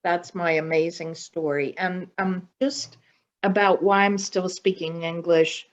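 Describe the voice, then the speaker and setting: A woman chatting and telling personal stories in a slow, deliberate way over a video call. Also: fairly low pitch, steady tone. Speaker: podcast guest. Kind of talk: casual conversational storytelling. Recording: dull, lo-fi video call audio.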